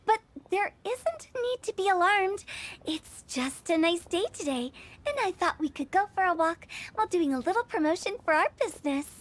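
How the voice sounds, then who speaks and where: A woman's voice: extremely high pitch, lively intonation, normal speed. An actress playing a playful, eccentric young female funeral parlour director in a game, chatty, teasing and theatrical. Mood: cheeky, breezy. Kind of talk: animated game voice acting. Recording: clear music bed behind voice.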